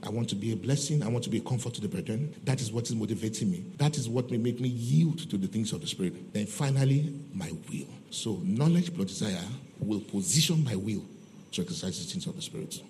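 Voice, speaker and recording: A man's voice: lowish voice, expressive, a little fast, dark tone, a little hiss or hum, low-quality recording. A man with a Nigerian accent preaching a teaching sermon, emphatic, repeating phrases and answering his own rhetorical questions. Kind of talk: teaching sermon with scripture readings and questions to listeners.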